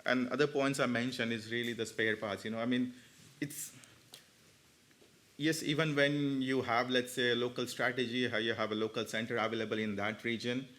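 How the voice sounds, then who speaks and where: Mid-pitched man explaining haltingly on a panel. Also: level tone, a bit bright. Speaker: panel speaker, radiotherapy expert. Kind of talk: spontaneous panel answer.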